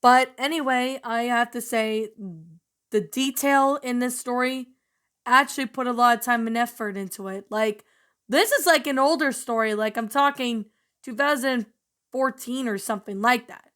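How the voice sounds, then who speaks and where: Hoarse woman rambling through a review. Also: fairly high pitch, fairly bright tone. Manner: rambling, many fillers and restarts, upbeat. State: hoarse from a cold. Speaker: channel host reviewing a story. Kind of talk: casual spoken review.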